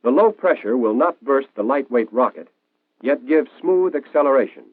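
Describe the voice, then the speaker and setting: An American man reading scripted narration for a 1940s instructional film in a clear, didactic, explanatory manner. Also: medium pitch, lively intonation, moderate pace, no echo. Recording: tinny band-limited 1940s film soundtrack.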